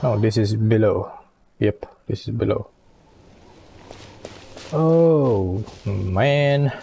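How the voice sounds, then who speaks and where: A man doing casual game playthrough commentary, halting as he reads choices and adds short asides. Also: lowish voice, expressive, gravelly, noisy background. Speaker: game streamer.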